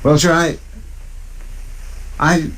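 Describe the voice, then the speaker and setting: An actor playing a deferential, rambling deputy with a folksy rural American drawl in a 1950s western radio drama. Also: male voice, fairly high pitch, flat delivery, very slow, a bit bright. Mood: hesitant. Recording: noisy old radio recording.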